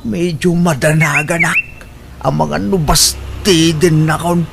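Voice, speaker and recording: A man's voice: fairly high pitch, flat delivery, slow pace, creaky. A man playing an eager, playful old suitor with an Ilocano accent in a comedy radio drama, drawing out words and exclaiming. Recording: noisy recording.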